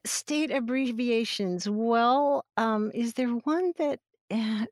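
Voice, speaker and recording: A woman's voice: on the high side, takes it slowly, dry recording, studio quality. Friendly woman explaining grammar. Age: middle-aged. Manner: friendly, laughs often, explains patiently. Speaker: grammar expert guest. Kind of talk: conversational explanation on a podcast.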